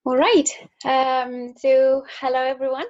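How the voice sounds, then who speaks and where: Young Italian-accented woman, slightly high voice. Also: level tone, a little slow, darkish tone, dull audio. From Italy. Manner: casual, friendly, with fillers and restarts. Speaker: engineer. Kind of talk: recorded talk to school pupils.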